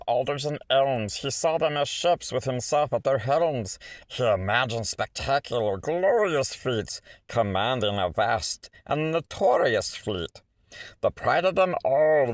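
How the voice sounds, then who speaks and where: A man's voice: mid-range voice, no echo. A man reading a rhyming children's picture book aloud in a theatrical, rhythmic way, stretching words for effect. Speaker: storybook reader.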